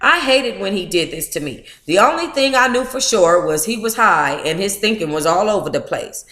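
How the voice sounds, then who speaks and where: An American woman reads aloud as a book club host in an echoey room. Her delivery is informal and animated, moving between narration and playful, blunt asides. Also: medium pitch, moderate pace. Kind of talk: informal read-aloud with candid, profane asides.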